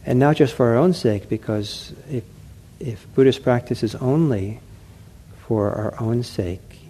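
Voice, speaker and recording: A middle-aged man giving a dharma talk as a meditation teacher, gentle and unhurried, often pausing with fillers and restarting his phrases. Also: mid-range voice, slight background noise. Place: meditation center hall.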